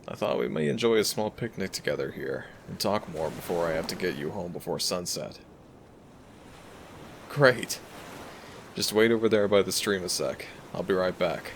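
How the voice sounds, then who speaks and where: A man playing a gentle young dragon prince, a devoted boyfriend talking tenderly to the listener in a romantic audio roleplay. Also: low-pitched, a little fast, few pauses, slight background noise. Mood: warm, attentive. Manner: tender, affectionate, soft-spoken reassurance. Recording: music behind the voice. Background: background music.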